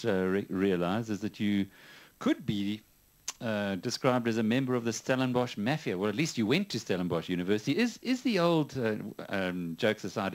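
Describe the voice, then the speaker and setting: Deep-voiced interviewer. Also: male voice. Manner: probing, wry, conversational questions. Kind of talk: business interview.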